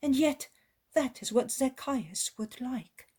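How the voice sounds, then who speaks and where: Poor, worn-out village mother, pleading and complaining loudly, high and husky as a woman reader puts her on. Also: lively intonation, slow, full character voice.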